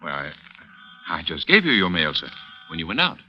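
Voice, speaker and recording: An actor playing a polite, puzzled hotel desk clerk in a vintage radio drama, deferential and hesitant. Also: male voice, sits low, huge pitch swings, normal speed, dry recording, full character voice. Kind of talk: 1940s-1950s radio drama acting. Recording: noisy, muffled vintage radio recording.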